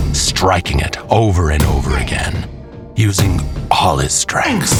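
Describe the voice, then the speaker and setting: A man narrating a scripted horror tale in a dramatic, measured way with pauses. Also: deep voice. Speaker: storyteller. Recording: music behind the voice. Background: clear background music.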